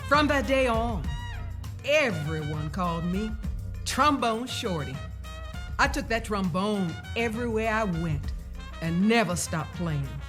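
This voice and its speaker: A woman reading a children's story aloud in the first person, with deliberate, expressive storybook delivery. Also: mid-range voice. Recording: music playing behind the voice. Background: music.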